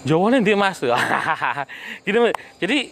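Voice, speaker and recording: A man hosting an online interview in casual chat, talking in a lively way and often rising in surprise. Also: sits high, unhurried. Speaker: YouTube host interviewing a guest. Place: on site at a home cracker business.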